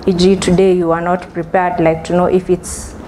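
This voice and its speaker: A woman's voice: on the low side, little pitch movement, normal speed, warm tone, a little hiss or hum. A young woman giving a conference presentation from a podium, explaining steadily with some ums and restarts. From Kenya. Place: large conference hall with stage. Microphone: podium microphone.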